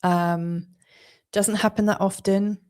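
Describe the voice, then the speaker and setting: A woman's voice: medium pitch, little pitch movement, slow pace, darkish tone, clear, resonant tone. A woman from England with an English accent, giving an astrology forecast in an earnest, chatty monologue with frequent laughs and fillers.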